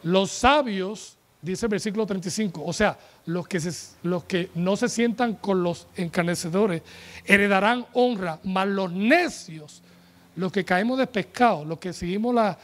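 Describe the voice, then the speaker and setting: A man in his forties preaching a sermon from a pulpit, animated and joking as he calls on the congregation, speaking into a handheld microphone. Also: high-pitched, measured pace. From United States. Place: church tent stage with a pulpit.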